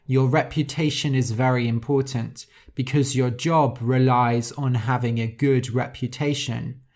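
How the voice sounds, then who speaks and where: A man's voice: mid-range voice, darkish tone. A man teaching English to learners, explaining words and spelling them out before reading a story aloud. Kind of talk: slow teaching narration for learners.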